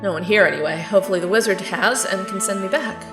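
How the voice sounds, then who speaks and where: A woman's voice: mid-range voice, fast talker, natural voice. A woman playing a lost young Kansas girl, bossy, exasperated and overwhelmed, in an audio drama. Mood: downcast. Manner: urgent, exasperated, sarcastic. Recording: roomy echo, music underneath. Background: music.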